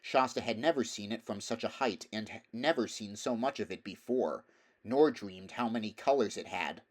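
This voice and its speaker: A man's voice: on the low side, moderate pace. A man reading a story aloud as scripted narration, in the role of audiobook reader.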